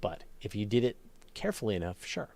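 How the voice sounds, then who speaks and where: A man's voice: fairly low pitch, sing-song, fast, vocal fry, a little mellow. A man hosting a live question-and-answer session, explaining things conversationally and thinking aloud as he answers. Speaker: host. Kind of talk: casual live question-and-answer on space and astronomy.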